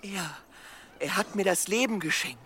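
A woman's voice: medium pitch, normal speed, a bit bright, slight background noise, close to an everyday voice. A woman in a German radio drama playing a childish, pious young male killer, boastful yet easily frightened, with stammering, pleading speech. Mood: joyful, boastful.

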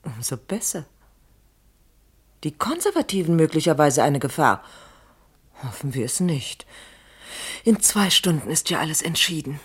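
An actress in a 1970s German radio play, playing a fatalistic, secretive wife in a deliberate, melodramatic way with a husky, breathy voice. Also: female voice, low voice, very animated, heavily acted. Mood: hushed, secretive.

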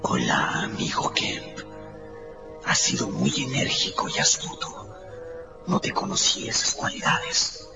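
An actor playing a menacing, megalomaniac rogue scientist in a radio drama, with a slow, whispery, theatrical delivery. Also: male voice, medium pitch, wildly swooping pitch, creaky, heavily acted. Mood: coldly menacing. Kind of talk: Spanish-language radio drama acting. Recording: loud music over the voice.

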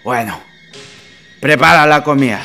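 A man with a Chilean accent playing a gruff, jealous, demanding husband in a radio play. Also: mid-range voice, unhurried, sharp tone, faint music behind. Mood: curt, demanding.

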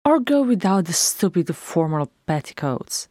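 A woman's voice: big pitch swings, unhurried, warm tone, no room sound, clean studio sound. A woman voicing a blunt, impetuous dwarf woman who grumbles and complains. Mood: grumbling. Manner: higher, more emphatic voice than her narration.

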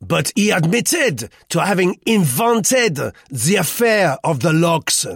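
A man voicing a hot-tempered, rude Frenchman in an audiobook, loud, sneering and aggressive. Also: fairly high pitch, animated, slow pace, gravelly, breathy edge, dry recording, studio quality, pitched above the performer's own voice, exaggerated voice. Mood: jeering.

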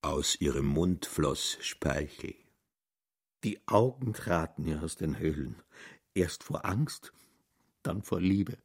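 Deep, husky male narrator. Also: lively intonation, slow, no echo, studio quality. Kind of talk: scripted literary narration in a radio play.

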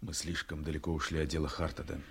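An actor playing a principled legal assistant in a radio drama, reasoning in a measured, formal, argumentative way. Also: male voice, rumbling low, flat delivery, vocal fry, a little hiss or hum. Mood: sober, doubting. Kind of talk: Soviet radio drama acting.

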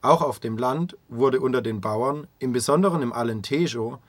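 A man's voice: medium pitch, normal speed, dry recording. A man reading scripted narration as the presenter of a radio feature.

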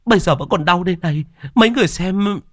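A man's voice: high-pitched, quick pace, a bit bright, dry recording, studio quality, voice pushed up. A man voicing a terrified older village woman who stammers and insists on what she saw. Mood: insistent frightened.

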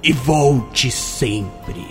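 A man's voice: mid-range voice, extremely slow, music in the background. A man delivering a scripted, theatrical promotional outro as a podcast announcer.

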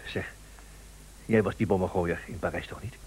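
An actor playing a sociable old friend in a radio play, curious and easily astonished. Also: male voice, deep voice, fairly even intonation, moderate pace, background noise, lightly acted.

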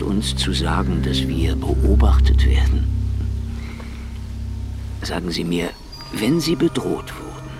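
An actor playing a weary, sardonic middle-aged Soviet secret police investigator in a noir radio play, brooding and dry, often dropping to a near-whisper. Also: male voice, low voice. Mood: wary, probing. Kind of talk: hardboiled noir radio drama acting with inner monologue. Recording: studio radio play with music underneath.

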